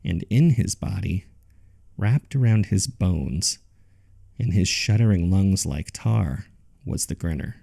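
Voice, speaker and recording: A man playing a haunted survivor, narrating a horror audio drama in the first person with somber, measured suspense. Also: low voice, expressive, gravelly, dark tone, heavily acted. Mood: somber, fearful.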